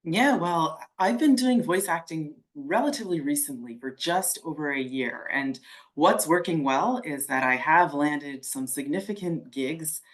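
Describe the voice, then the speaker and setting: A woman who is a voice actor, with a very deep voice, earnestly answering a coach's questions on a video call. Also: lively intonation, a little slow. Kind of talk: answering questions in a role-play.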